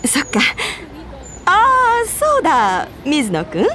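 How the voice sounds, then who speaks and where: An actress playing a friendly, tentative young senior coworker in a Japanese radio drama. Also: female voice, high voice, huge pitch swings, slow, sharp tone, some chatter behind, full character voice. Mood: friendly, probing.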